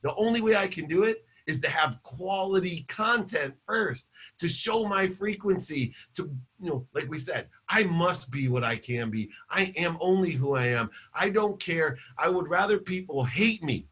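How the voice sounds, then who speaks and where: A man in his fifties, a guest entrepreneur giving an energetic motivational interview answer full of repeated phrases. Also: fairly high pitch, normal speed, breathy edge. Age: 50s. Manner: energetic, repetitive storytelling.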